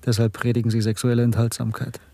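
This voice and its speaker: A man's voice: mid-range voice, completely flat delivery, fast talker, gravelly, mellow, no echo. An actor playing a brooding, disillusioned man living under a totalitarian party in a radio drama, subdued and reflective. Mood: bitter. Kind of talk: German radio drama acting.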